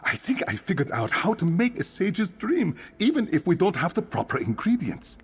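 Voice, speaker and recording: Animated, swooping innkeeper in reverberant space. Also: male voice, sits high, moderate pace. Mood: friendly, eager. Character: chatty male innkeeper, eager amateur drink inventor. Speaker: innkeeper giving instructions. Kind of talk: fantasy video game voice acting. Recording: long reverb, music behind.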